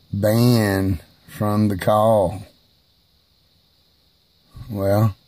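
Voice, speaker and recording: Slow, low-voiced man musing aloud. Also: mellow. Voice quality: hard of hearing, speaks raised at times. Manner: slow, halting, emphatic delivery. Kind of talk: personal video monologue.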